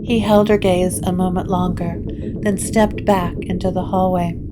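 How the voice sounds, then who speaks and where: A woman reading scripted gothic story narration in a measured, deliberate way. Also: on the low side, little pitch movement, low-quality recording. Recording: clear music bed under the voice.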